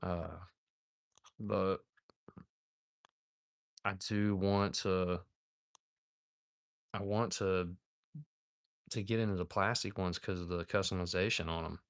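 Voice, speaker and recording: A man's voice: low-pitched, fairly even intonation, slow pace, pauses often, no room sound, studio quality. A man in his thirties chatting casually on a live stream while he builds a model kit, laid-back and rambling, calling viewers dude and man. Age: 30s.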